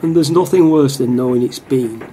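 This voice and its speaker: A man's voice: medium pitch, normal speed, warm tone, close to an everyday voice, poor audio. A man playing a wistful, plain-spoken football supporter in a radio play monologue. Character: wistful male football supporter narrating a train ride home. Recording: train noise behind the voice.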